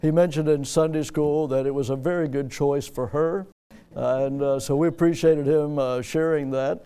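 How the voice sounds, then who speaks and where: A man serving as pastor, introducing a guest speaker during a church service in an unhurried, halting way. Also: fairly high pitch, fairly even intonation, warm tone, clear, resonant tone.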